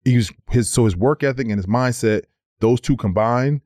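A man in his thirties, a former pro basketball player, telling stories on a podcast in a casual, animated way, repeating words as he goes. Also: medium pitch, moderate pace, no room sound, studio quality. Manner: animated storyteller, slips into quoted voices, repeats words. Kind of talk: casual storytelling.

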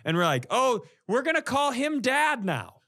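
Guy on a comedy podcast telling a story, super animated pitch, does the quoted lines. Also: normal speed, gravelly. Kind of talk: casual comic storytelling.

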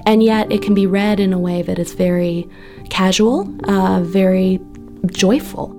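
A woman's voice: mid-range voice, measured pace, music in the background. A woman talking reflectively in an interview as a poetry editor.

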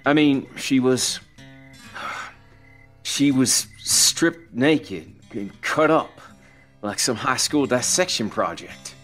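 A man's voice: mid-range voice, lively intonation, drawn-out words, long pauses, fairly bright tone, soft background music, voice pushed up. A man voicing a blunt, uneasy trucker who speaks up sharply and tensely. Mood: troubled.

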